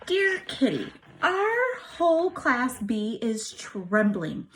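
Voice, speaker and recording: A woman reading a diary aloud to students as a teacher, with expressive, emphatic storytelling phrasing. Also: a little high, takes it slowly, slight background noise. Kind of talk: animated read-aloud of a diary book.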